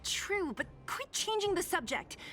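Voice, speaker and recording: A woman's voice: very high-pitched, expressive, a little slow, pushed and bright, soft background music, exaggerated voice. An actress voicing a blunt, sarcastic young female fighter in video game dialogue. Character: tough, exasperated young female underworld fighter.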